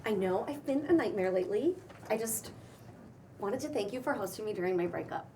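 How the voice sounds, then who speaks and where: A woman's voice: medium pitch, animated, fairly quick, close to an everyday voice. A woman playing a defensive, self-pitying broke writer after a breakup, in a casual, conversational comedy-drama script read. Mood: sheepish, defensive. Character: Janie, mopey, defensive broke female writer, freshly dumped. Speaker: actor at a table read. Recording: distant mic, faint room noise.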